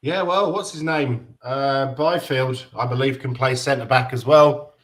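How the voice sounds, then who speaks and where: Blunt, sweary host. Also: male voice, mid-range voice, unhurried. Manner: blunt, swears, says mate. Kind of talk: casual football chat.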